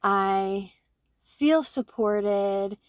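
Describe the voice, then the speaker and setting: A woman in her forties, co-hosting a podcast, talking through her own thoughts in an animated way and often checking in with "right?". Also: medium pitch, very slow, no echo. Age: 40s. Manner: animated, self-reflective, fond of tag questions like "right?". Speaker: podcast co-host. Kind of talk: casual conversation.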